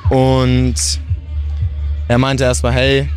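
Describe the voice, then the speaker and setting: Young skater dude in an interview, relaxed and halting, faint music behind. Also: male voice, medium pitch. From grew up in Portugal. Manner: casual, halting, with fillers and restarts. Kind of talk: interview sound bite.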